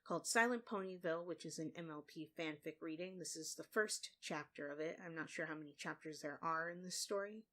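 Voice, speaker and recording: A woman's voice: sits low, fairly quick, few pauses, a bit bright. A woman hosting a reaction video, talking in a casual, rambling way with asides.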